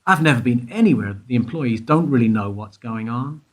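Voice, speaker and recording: Husky, probing male investigator, played by a man. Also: mid-range voice, lively intonation, brisk. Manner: probing, insistent, wry. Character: probing, wry male investigator questioning a witness. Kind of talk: radio play acting.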